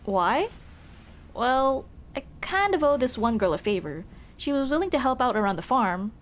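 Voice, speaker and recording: A woman's voice: on the high side, big pitch swings, normal speed, slight background noise. A woman playing a young tomboy childhood friend, casual, teasing and caring, in a scripted ASMR roleplay. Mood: relaxed, teasing. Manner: casual, teasing, addresses listener as dude. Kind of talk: ASMR roleplay, scripted one-sided conversation.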